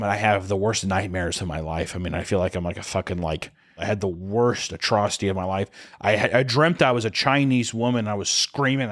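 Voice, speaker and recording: A young man on a comedy podcast, drunk, telling a wild story with animated, profane, self-mocking energy. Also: fairly low pitch. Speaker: podcast co-host.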